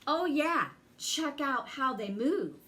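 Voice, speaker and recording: A woman reading a children's story aloud with theatrical, deliberate phrasing and drawn-out emphasis. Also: sits high, animated, a bit bright. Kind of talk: expressive bedtime story reading.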